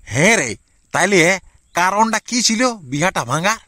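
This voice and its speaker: A man plays a middle-aged village uncle from a comic cartoon, with a rural Purulia Bengali accent. His voice is high and forceful, very dry and close. He is blunt and bossy, questioning and repeating himself. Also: expressive, normal speed, no room sound, heavily acted. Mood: nosy, questioning. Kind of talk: amateur comic cartoon dialogue.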